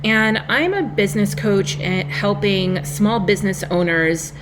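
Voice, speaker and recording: A woman's voice: mid-range voice, a little slow, over music, poor audio. A woman with a New York accent, a business coach speaking as a podcast guest, confident and articulate. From Long Island, New York. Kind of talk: podcast interview.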